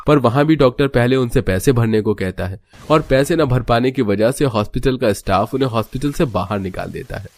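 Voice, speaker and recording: Male narrator, ordinary pitch, scripted storytelling delivery. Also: a little fast, hardly any pauses.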